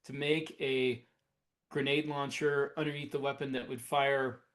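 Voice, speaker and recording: A man co-hosting a podcast, talking casually and conversationally with halting, searching phrasing. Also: mid-range voice, little pitch movement, a bit bright. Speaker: podcast co-host, science fiction author.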